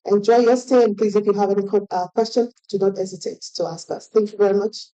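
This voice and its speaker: A woman's voice: medium pitch, fairly even intonation, fast talker, mellow, muffled recording. Woman organizer thanking the audience. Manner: hesitant, with many fillers. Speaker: event organizer. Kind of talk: closing remarks to an audience. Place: event stage.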